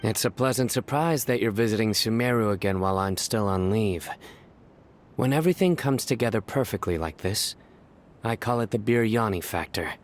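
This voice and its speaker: A man voicing a stern, deadpan young judge who speaks formally and loves bad puns. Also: fairly low pitch, moderate pace, a little hiss or hum, natural voice. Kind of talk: video game voice acting.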